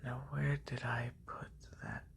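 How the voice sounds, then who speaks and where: A man playing a haughty, wealthy lamia noble in a soft, breathy ASMR whisper, slow and deliberate, close to a binaural microphone. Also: mid-range voice, lively intonation, slight background noise. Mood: haughty, soothing.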